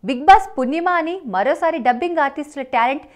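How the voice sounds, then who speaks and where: Brief: female news voice-over, high pitch, steady scripted read. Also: quick pace. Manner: even, reading a prepared script. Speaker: entertainment news narrator.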